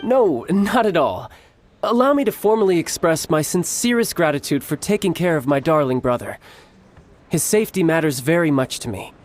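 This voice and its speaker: A man voicing a cocky, playful young warrior and doting big brother in a video game, smooth-talking and theatrical. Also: fairly high pitch, lively intonation, moderate pace, lightly acted. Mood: courteous, earnest. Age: young adult. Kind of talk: video game character acting. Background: music.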